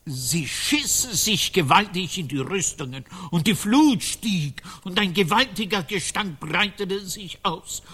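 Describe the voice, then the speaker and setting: Slow, husky, solemn monk chronicler. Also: male voice, high voice, expressive, bright, forceful tone, exaggerated voice. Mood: solemn, reciting. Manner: declamatory, archaic, solemn. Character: medieval monk chronicler reciting pompous tales. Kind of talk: German radio play acting.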